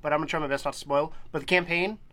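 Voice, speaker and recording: A man's voice: on the high side, fairly even intonation, rapid-fire, sharp tone. A young man hosting a casual gaming podcast, a bit nasal from a cold, rambling and laughing often. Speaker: podcast host.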